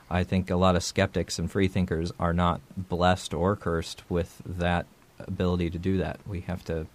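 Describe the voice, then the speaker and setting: A man with a very low voice hosts a podcast conversation. He talks in a thoughtful, searching way, stopping to restart phrases and repeat words before he makes his point. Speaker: podcast host. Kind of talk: casual discussion.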